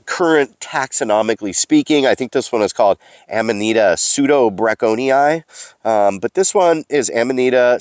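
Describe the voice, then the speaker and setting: A man's voice: fairly low pitch, smooth, no echo. Stuffy-nosed man teaching in the woods. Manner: chatty, explaining as he goes, hesitant fillers. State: stuffy from allergies. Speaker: mycology educator and host. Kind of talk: casual outdoor teaching vlog. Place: outdoors in a forest.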